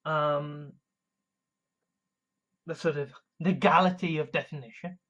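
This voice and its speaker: Deliberate man, slightly dull audio. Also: fairly high pitch, steady tone, fairly bright tone. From England. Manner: measured, deliberate, many restarts and fillers. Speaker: video commentator. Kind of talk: casual monologue.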